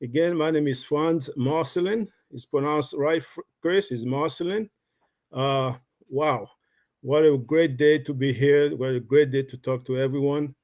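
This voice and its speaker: A man's voice: fairly high pitch, measured pace, a little mellow. A middle-aged man from Haiti with a Haitian accent, a guest telling his life story in a rambling, casual way with many fillers. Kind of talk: casual live-stream storytelling.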